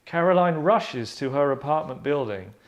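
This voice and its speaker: A man's voice: a little high, animated, normal speed, dull audio. A middle-aged man, a scriptwriter, narrating and casually explaining his work in an earnest, rambling way. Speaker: scriptwriter reading stage directions. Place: furnished room indoors.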